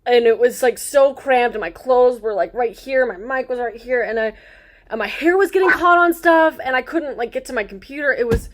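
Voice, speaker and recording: A woman in her twenties hosting a casual chat, bubbly and quick to laugh and shout. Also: on the high side. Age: 20s. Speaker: host.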